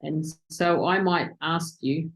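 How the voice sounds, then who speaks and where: Excitable woman interviewing on a video call. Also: deep voice, poor audio. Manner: excitable, talks fast when excited. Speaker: client interviewing virtual assistants.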